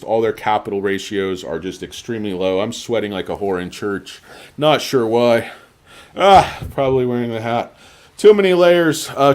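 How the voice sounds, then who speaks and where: A man's voice: mid-range voice, darkish tone. A man hosting a stock livestream, ranting in a crude, insulting and sarcastic way, and sounding tired. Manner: sarcastic and mocking. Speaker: livestream host.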